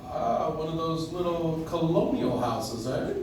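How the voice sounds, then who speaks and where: A man playing a kindly old Santa Claus in a live radio play, gentle, halting and grandfatherly. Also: fairly high pitch, distant mic, lightly acted. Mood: kindly, encouraging. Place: coffeehouse stage, picked up from the audience. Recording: echoey noisy room, recorded from audience.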